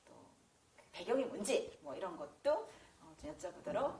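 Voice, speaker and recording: A woman with a Korean accent, a native Korean speaker, hosting a chatty interview in an animated way. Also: mid-range voice, racing. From South Korea. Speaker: host, interviewer. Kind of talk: chatty talk-show interviewing. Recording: roomy echo with noticeable background noise.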